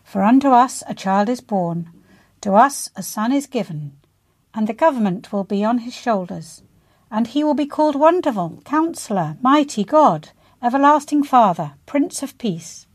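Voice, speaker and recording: Higher-voiced woman reading aloud as presenter. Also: animated, moderate pace. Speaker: presenter of a local news and information service.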